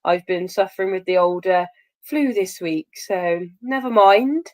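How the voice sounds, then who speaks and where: A British woman co-hosting a livestream while full of a cold, playful and excitable in casual chat. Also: medium pitch, measured pace, dry recording. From United Kingdom. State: has a cold. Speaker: co-host.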